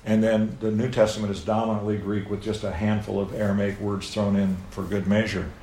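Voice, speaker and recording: A man's voice: fairly low pitch, fairly quick. A middle-aged American man preaching a sermon to a live audience, telling stories with playful asides. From United States. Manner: animated storytelling, playful asides. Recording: echoey room, faint background noise.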